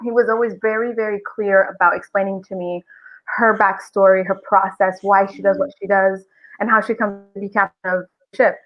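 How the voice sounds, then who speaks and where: A woman's voice: mid-range voice, steady tone, fairly quick, lo-fi sound. A woman talking reflectively and at length as a guest voice actor in a casual podcast interview.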